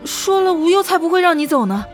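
A woman's voice: very high voice, animated, quick pace, soft background music. A woman playing an impulsive, lovestruck young princess in a Chinese costume audio drama. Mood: pleading, tender.

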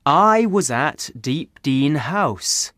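An earnest, relieved young man, as a narrator puts him on, his voice raised high above the reader's own and full of feeling. He speaks slowly and deliberately, and the recording is dry and close. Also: big pitch swings, a bit bright, no echo, exaggerated voice. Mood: grateful. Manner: earnest, emotional thanks. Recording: dry close studio sound.

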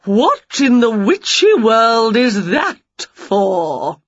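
A woman voicing a prim, fussy older witch aunt in a children's storybook, scolding in drawn-out, condescending words. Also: a little high, very animated, slightly rough, dry recording, lo-fi sound, voice pushed up, full character voice. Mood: bossy, disapproving.

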